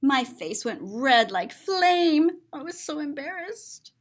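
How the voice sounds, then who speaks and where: A woman's voice: sits high, sing-song, slow pace, voice pushed up, heavily acted. A woman voicing a sensitive, big-hearted little girl who tells her own story in an earnest, rhyming storybook style. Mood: sad, hurt.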